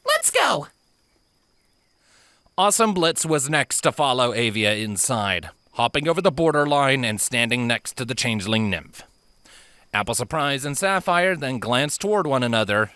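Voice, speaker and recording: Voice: medium pitch, lively intonation, normal speed, sharp tone, clear, resonant tone, no room sound. A narrator reading fantasy fan fiction as a dramatic, scripted audiobook.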